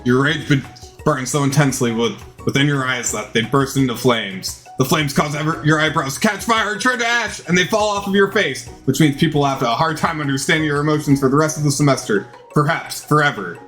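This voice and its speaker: A man's voice: fairly high pitch, lively intonation, fairly quick, a bit bright, soft background music. A man narrating a video game playthrough in a theatrical way, reading the text aloud and stumbling over the odd word.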